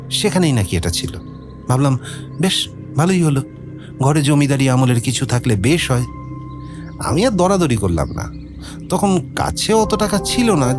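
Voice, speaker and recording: An actor playing a nervous, talkative gentleman in an audio drama, hesitant and rambling. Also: medium pitch, natural voice. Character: nervous, talkative middle-class Bengali gentleman with a mystery.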